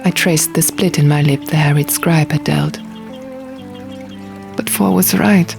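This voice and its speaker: Creaky-voiced woman narrating a story. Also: deep voice, fairly even intonation, a little slow. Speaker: audiobook reader. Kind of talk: dramatic first-person scripted narration. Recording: music behind the voice.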